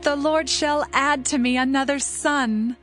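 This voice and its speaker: Woman cast as envious young biblical wife, slow and pleading, music clearly behind. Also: high voice, steady tone, fairly bright tone. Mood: imploring. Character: Rachel, envious young wife desperate for children. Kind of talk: dramatized scripture reading. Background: background music.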